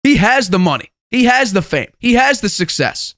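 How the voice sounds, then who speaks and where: A young man of about twenty with a high-pitched voice hosts a solo sports show. He talks in an opinionated, conversational way and hammers points home by repeating himself. The sound is close and dry, with very little room in it. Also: very animated, normal speed, fairly bright tone, no echo. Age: 20 years old. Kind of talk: sports commentary monologue.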